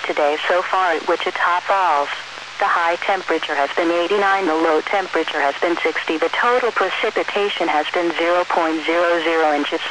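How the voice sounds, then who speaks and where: Higher-pitched synthetic American man, noisy radio. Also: a little fast. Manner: formal, impersonal synthesized reading. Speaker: weather radio announcer. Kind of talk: automated scripted weather report. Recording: noisy weather radio broadcast.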